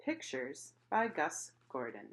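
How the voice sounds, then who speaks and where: A woman reading a picture book aloud expressively, in an animated storytelling manner. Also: sits low, slow. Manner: animated, acting out characters' exclamations.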